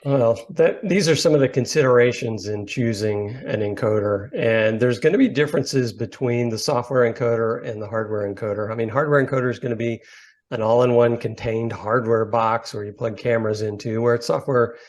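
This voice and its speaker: A man's voice: mid-range voice, brisk, darkish tone. A man presenting a webinar over a video call, explaining points plainly and rephrasing as he thinks aloud. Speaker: company founder presenting.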